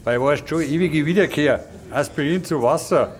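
Man playing a higher-voiced pompous barfly. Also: moderate pace, natural voice. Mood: pompous musing. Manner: mock-learned, pompous. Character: pompous, tipsy male regular at philosophers' table. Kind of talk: audio drama acting.

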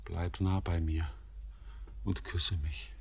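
A husky, entranced young miner. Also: male voice, low voice, level tone, slow pace, a little mellow, full character voice. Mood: yearning. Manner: dreamy, intense and ardent. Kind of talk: classic radio play acting.

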